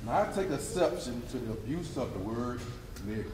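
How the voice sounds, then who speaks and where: A man playing an indignant 19th-century orator on stage, speaking in a deliberate, declamatory way. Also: medium pitch, lively intonation, close to an everyday voice. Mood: solemn indignation. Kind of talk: readers theatre stage acting. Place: theatre stage. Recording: echoey hall, distant mic, background noise.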